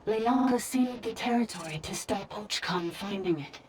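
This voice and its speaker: A woman's voice: mid-range voice, moderate pace, close to an everyday voice. Woman voicing a distant, noisy female robot. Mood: factual. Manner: clipped, flat report-like statements. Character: female robot, factual and emotionless, with faulty language module. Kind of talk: video game voice acting. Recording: distant voice, clearly noisy, clicking signal.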